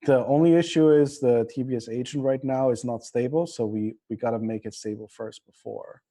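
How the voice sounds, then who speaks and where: A man's voice: mid-range voice, fairly even intonation, a little fast, warm tone. A man who grew up in Singapore, with a somewhat American accent, talking informally and bluntly as a guest in a casual livestream interview, rambling as he goes. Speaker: interview guest, drone company representative.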